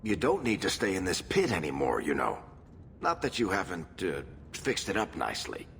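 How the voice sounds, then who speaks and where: A casual, teasing companion in a fantasy game. His deep voice roams widely in pitch with dry wryness. A slight rumbling noise lies behind it. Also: huge pitch swings, moderate pace, gravelly, fairly bright tone, full character voice. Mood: wry, coaxing.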